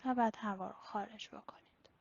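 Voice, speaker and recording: A woman leading a guided body-scan meditation in a slow, instructional way with pauses. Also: mid-range voice, fairly even intonation, creaky, slightly rough. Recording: slightly dull, lo-fi recording.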